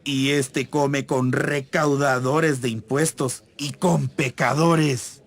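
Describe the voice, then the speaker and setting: A man's voice: medium pitch, steady tone, slow. An actor playing a disapproving religious lawman, pointed and accusing, in a dramatized audio Bible. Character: disapproving Pharisee, a teacher of the law.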